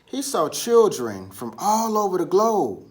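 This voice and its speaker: A man telling a children's story in an animated style, with dramatic pauses and drawn-out, emphatic words. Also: high voice, slight background noise. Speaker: storybook reader.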